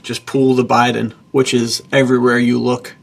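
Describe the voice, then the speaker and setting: A man with a very gravelly, husky voice hosts a casual podcast. He talks informally and with emphasis, enthusiastic in his wording while his pitch stays fairly even. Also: normal speed. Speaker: podcast host, author and publisher.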